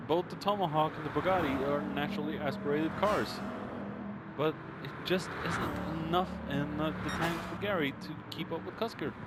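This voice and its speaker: High-pitched man commentating racing over noise. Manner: exclamatory, informal, peppered with hesitations. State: strained voice, starting to give out. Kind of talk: excitable live race commentary.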